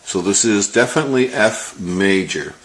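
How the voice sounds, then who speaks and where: A man giving an explanatory music lesson as a teacher, talking step by step in a measured manner. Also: fairly low pitch, expressive, fairly bright tone, echoey room.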